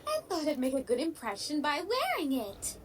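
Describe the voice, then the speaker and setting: A woman's voice: high voice, sing-song, fairly quick. A woman voicing a vain, refined female pony fashion designer in a fan audio drama. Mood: haughty.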